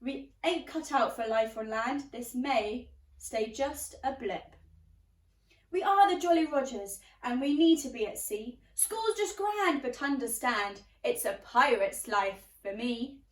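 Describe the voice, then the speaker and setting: Woman playing a lively, cheeky young pirate boy. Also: fairly high pitch, measured pace. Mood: breezy, proud. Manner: sing-song rhyming sea-dog talk with exclamations. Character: cheerful young pirate boy, boastful and rascally. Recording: roomy, distant microphone.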